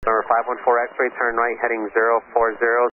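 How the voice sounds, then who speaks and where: Male approach controller, flat rapid delivery, terse, over aircraft radio. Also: medium pitch. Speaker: approach air traffic controller. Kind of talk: terse air traffic control vectors. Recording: narrow noisy aircraft radio channel.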